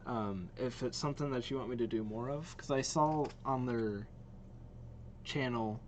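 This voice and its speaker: A man's voice: medium pitch, moderate pace, slight background noise. A man hosting a casual reaction vlog, talking informally and enthusiastically, with some hesitation. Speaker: video host reacting to game trailers.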